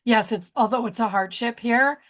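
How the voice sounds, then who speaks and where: A woman's voice: a little high, normal speed, fairly bright tone. A woman in her sixties co-hosting a podcast, chatting casually and rambling, often losing her train of thought and joking at her own expense. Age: 60s. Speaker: podcast co-host. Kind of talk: casual chat.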